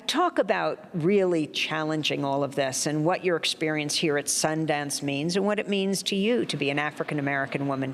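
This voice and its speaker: A woman's voice: low-pitched, long reverb tail, slight background noise. A woman hosting a news show, asking direct, probing interview questions.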